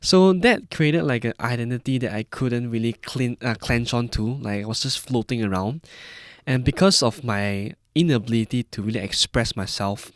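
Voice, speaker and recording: A man's voice: medium pitch, normal speed, dry recording. A man in his early twenties with a Malaysian-Singaporean accent, telling personal stories as a podcast guest. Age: early 20s. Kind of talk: personal storytelling in conversation.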